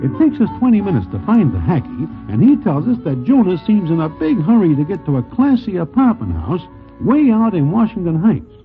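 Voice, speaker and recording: An actor playing a wry New gangland narrator with formal slang, in 1940s radio acting style. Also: male voice, high-pitched, wildly swooping pitch, a little fast. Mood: dry narration. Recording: tinny 1940s radio recording, music bed.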